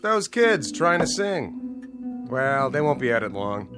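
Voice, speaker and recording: An actor playing a nosy, easygoing small-town bartender with a folksy, colloquial manner, in old-time radio drama style. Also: male voice, mid-range voice, very animated, slow. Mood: easygoing, curious. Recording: music behind, vintage radio drama.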